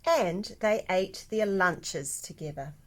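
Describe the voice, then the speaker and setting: A woman reading a children's picture book aloud like a teacher, with deliberate, expressive emphasis. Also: lowish voice. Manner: animated, deliberate, with dramatic emphasis.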